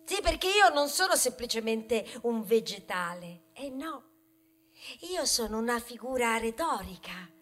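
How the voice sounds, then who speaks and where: A woman plays a wounded, self-important grain of wheat in a theatrical radio monologue. The voice is high and bright, with a slow, deliberate delivery. It addresses the listeners directly, full of rhetorical indignation. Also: very animated, heavily acted. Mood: proud, grandiose. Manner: theatrical, rhetorical, confiding in the audience.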